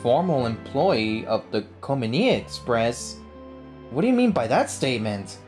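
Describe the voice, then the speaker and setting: A man giving casual, excitable game playthrough commentary, reacting and repeating himself as he goes. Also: mid-range voice, measured pace. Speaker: gaming YouTuber. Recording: game music behind voice.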